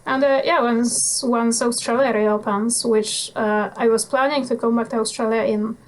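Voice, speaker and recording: A woman in her twenties from Poland with a Polish accent, chatting as an interview guest in a laid-back, nonchalant way, pausing often with fillers. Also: on the high side, fairly even intonation, rough recording. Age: 20s. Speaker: guest motorcycle traveller. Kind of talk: casual interview chat.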